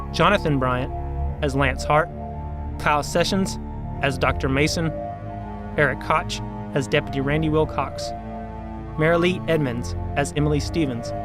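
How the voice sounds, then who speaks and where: A male announcer reads scripted closing credits for an audio drama. His voice sits a little high, over loud eerie music. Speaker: host and announcer.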